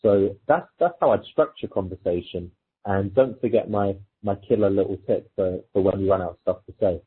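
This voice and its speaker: A man's voice: lowish voice, normal speed, slightly rough. A man working as a dating coach, giving informal, conversational advice and explaining his points through example exchanges. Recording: muffled phone-like audio, thin and lo-fi.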